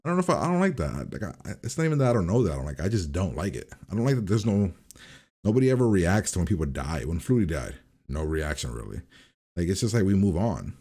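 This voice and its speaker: A man's voice: low-pitched, very animated, fast, a little mellow, husky. A man hosting a reaction video, giving a casual, informal review and rambling as he repeats and revises his points.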